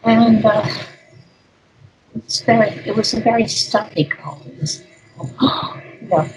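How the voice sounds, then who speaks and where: An older woman, a guest speaker, talking through an interview in an unhurried, rambling way with plenty of fillers. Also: on the high side, darkish tone, slight background noise, rough recording. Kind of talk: conversational interview.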